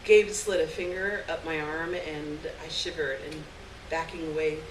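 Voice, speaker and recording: A woman giving an expressive dramatic reading of a literary short story live on stage, acting out the dialogue. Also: sits low, normal speed. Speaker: story reader. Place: live stage event, recorded from a distance. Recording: roomy distant live recording, clear background noise.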